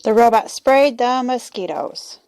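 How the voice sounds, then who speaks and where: A woman's voice: a little high, measured pace, a little mellow, a little hiss or hum. A woman reading a children's storybook aloud as the storyteller.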